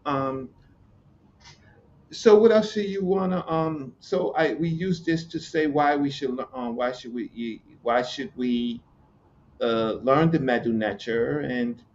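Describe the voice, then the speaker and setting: A man from Virginia, explaining hieroglyphs in casual chat, halting, with many fillers and repeated words. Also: medium pitch, a little hiss or hum. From Newport News, Virginia, USA. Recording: muffled lo-fi audio with faint ambience.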